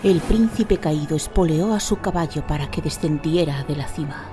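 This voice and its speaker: A woman's voice: low-pitched, normal speed. A woman narrating a fantasy radio drama in a dramatic, scripted storytelling style. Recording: music behind the narration.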